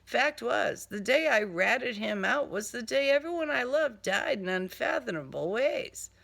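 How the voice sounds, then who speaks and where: A woman narrating a first-person crime story in a deliberate, dramatic, sardonic manner. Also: on the high side, lively intonation, vocal fry, fairly bright tone. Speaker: author reading own crime novel.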